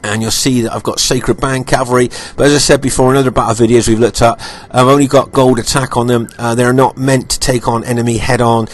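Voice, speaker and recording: A man with a croaky voice, recovering from illness, giving unhurried commentary over strategy game footage, with many ums and repeated points. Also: mid-range voice, level tone.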